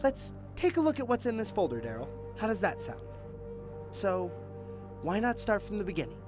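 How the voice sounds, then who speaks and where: An actor playing a sarcastic detective who taunts a suspect in a mocking, drawn-out way. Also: male voice, high-pitched, huge pitch swings, over music. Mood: mock friendly.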